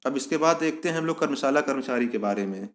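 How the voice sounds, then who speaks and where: A man's voice: mid-range voice, expressive, fast talker. A man presenting an informational explainer, reading out and explaining a notice in an instructive way, repeating points for emphasis. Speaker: exam-prep video presenter.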